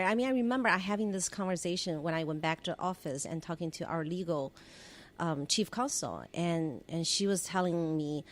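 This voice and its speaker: A woman from China, in her forties, telling stories from her career as a podcast guest, reflective, with restarts and small laughs. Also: on the low side. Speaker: podcast guest, former government relations head. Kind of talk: conversational interview storytelling.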